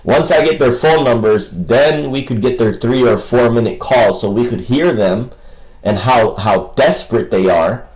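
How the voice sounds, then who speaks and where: A man's voice: medium pitch, measured pace, darkish tone, low-quality recording. A man rambling casually as a livestream host, with a combative, mocking and coarse way of talking.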